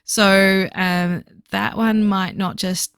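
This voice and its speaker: Slightly hoarse, chatty woman vlogger. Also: medium pitch, flat delivery, a bit bright, dry recording, clean studio sound. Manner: chatty, many fillers, talks fast. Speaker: book vlogger. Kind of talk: casual voiceover for a reading journal video.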